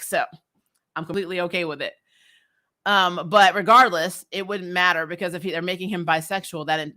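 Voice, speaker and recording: Bright-voiced woman chatting through a recap. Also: on the low side. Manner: informal and opinionated, with mild swearing and wry asides. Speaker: host of a TV recap show. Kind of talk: casual chatty episode review commentary.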